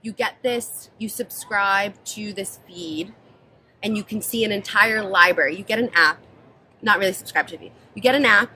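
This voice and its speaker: A woman's voice: medium pitch, normal speed, bright, forceful tone, slight background noise, rough recording. An American woman speaking as a guest in a casual interview, explaining things in an informal, chatty way. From born in Israel, grew up mostly in New Jersey, US.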